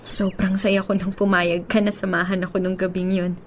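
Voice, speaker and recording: A woman's voice: medium pitch, flat delivery, moderate pace, slight background noise, natural voice. An actress playing a stubborn, lovesick young woman in a Filipino radio drama, speaking in an emotive, pleading way. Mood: wistful narration.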